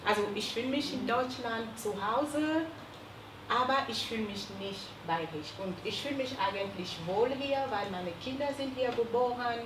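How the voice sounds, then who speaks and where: A woman from Cameroon, speaking calmly and deliberately on a panel. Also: fairly high pitch. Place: seminar room with a panel. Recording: distant mic in an echoey noisy room.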